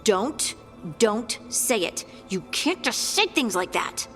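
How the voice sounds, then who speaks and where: Earnest young female game companion, highish and very expressive, hesitant, in long reverb. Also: slow pace, soft background music, exaggerated voice. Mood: subdued, pleading. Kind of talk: dramatic video game acting.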